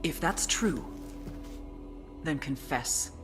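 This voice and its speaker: A woman's voice: deep voice, animated, extremely slow, heavily acted. An actress playing a stern female intelligence agent in a video game cutscene, terse and commanding. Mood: stern, pressing. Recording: music behind the voice.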